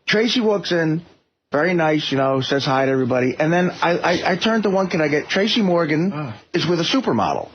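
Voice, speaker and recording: A man's voice: a little high, big pitch swings, a little fast. A man as a radio sidekick, wisecracking and telling anecdotes in casual comic banter. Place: radio studio. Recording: dull noisy radio recording.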